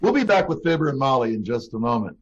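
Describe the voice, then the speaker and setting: A man playing an earnest 1940s radio announcer, delivering a formal, salesmanlike sponsor pitch. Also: very animated, quick pace, a little hiss or hum, lightly acted. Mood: earnest, promotional. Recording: dull, muffled video-call audio.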